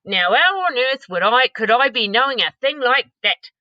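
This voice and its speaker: A woman's voice: a little high, normal speed, no echo, muffled recording, voice pushed up, natural voice. A woman voicing a huge, very old, kindly male giant who mangles his grammar, read aloud from a storybook. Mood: storytelling. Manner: muddled grammar, lively storybook delivery.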